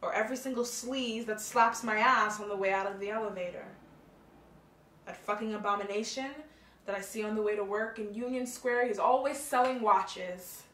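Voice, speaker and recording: An actress playing a blunt, profane but caring young college friend. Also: female voice, medium pitch, steady tone, normal speed, a bit bright, roomy sound, natural voice. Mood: bitter. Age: young adult.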